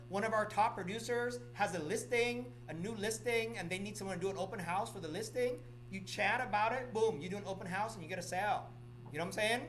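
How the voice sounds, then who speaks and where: A man's voice: sits high, a bit bright, slight background noise, low-quality recording. A middle-aged man from Vietnam gives announcements at an office meeting, repeating himself and often checking in with "okay?". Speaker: real estate office manager or broker.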